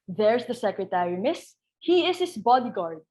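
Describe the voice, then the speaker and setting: A woman's voice: medium pitch, very animated, normal speed, dark tone, close to an everyday voice. A woman playing a deferential household servant in a stage comedy reading, formal and polite as she reports to her mistress.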